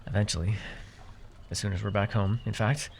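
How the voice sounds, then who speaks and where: A man's voice: fairly low pitch, steady tone, fairly quick, vocal fry, breathy edge. Man acting as a grumbly but caring fae guide. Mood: mildly exasperated. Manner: exasperated, bantering, hesitant when flustered. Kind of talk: immersive fantasy roleplay ASMR acting.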